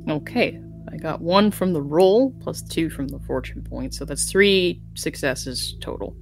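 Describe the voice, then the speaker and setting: A woman's voice: low-pitched, expressive, a little slow, vocal fry, faint music behind. A woman chatting casually as a player at a tabletop game.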